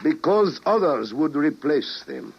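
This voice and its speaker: An actor playing a grave, persuasive president with a solemn, deliberate delivery in an old radio drama. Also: male voice, fairly high pitch, big pitch swings, husky, exaggerated voice. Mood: grimly persuasive. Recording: muffled vintage radio drama recording.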